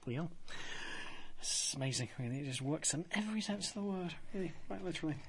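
A man's voice: a little high, expressive, fast talker, gravelly, a bit bright. A man hosting a radio show, chatting in a jovial, rambling way and leaning on "of course.". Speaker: radio host. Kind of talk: casual radio chat.

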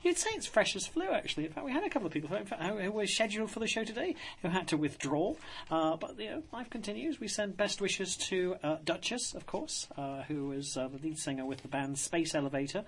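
Jovial, rambling British radio host. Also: male voice, high-pitched, expressive, fast talker, few pauses, slight background noise. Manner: jovial, rambling, fond of "of course". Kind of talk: casual radio chat.

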